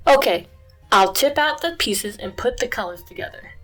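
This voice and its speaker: A woman voicing an excitable little puppy girl from a cartoon storybook, eager and chirpy. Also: fairly high pitch, moderate pace, soft background music.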